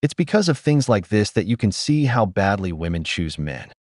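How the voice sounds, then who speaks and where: A man with a low voice gives critical, opinionated voice-over commentary. His pitch rises and falls a lot. The recording is dry and close. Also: big pitch swings, moderate pace, darkish tone, no room sound.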